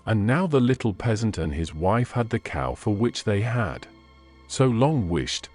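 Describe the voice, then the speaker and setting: A man reading fairy tales as a scripted audiobook narration, in measured, expressive storybook phrasing. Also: on the low side, faint music behind.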